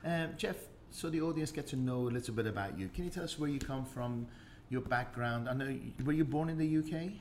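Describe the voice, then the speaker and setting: A man's voice: mid-range voice, brisk, hardly any pauses, darkish tone, slight background noise. A man hosting an interview, enthusiastic and jokey, asking a guest about his life. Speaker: interviewer host. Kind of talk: interview chat.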